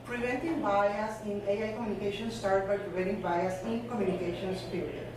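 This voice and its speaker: A woman's voice: medium pitch, fairly even intonation, a little fast. A woman giving a conference talk in a loose, conversational way with many fillers. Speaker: conference speaker. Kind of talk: presentation talk. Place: conference hall. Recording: echoey room from far off with noise.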